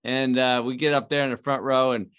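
A man's voice: mid-range voice, level tone, a little fast, a bit bright, clean tone. A man hosting a podcast from a studio, talking in a folksy, hyped-up way full of "man" and fillers. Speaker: podcast host. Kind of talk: casual, enthusiastic interview talk.

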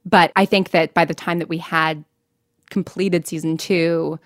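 A chatty American woman speaks as an interview guest in a slightly low voice. She tells stories casually and pokes fun at herself, recorded very dry. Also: fairly even intonation, a little slow, no room sound. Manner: chatty, self-deprecating, quotes own thoughts. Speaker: interview guest, podcast writer and producer.

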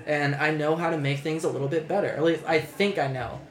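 A man's voice: on the high side, steady tone, a little fast. Young man, podcast host, rambling, swearing, restarts, echoey room. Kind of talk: casual podcast chat.

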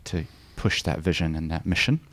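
A man hosting a podcast, reading a scripted introduction and then asking gentle, hesitant questions. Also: very deep voice, normal speed. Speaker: podcast host.

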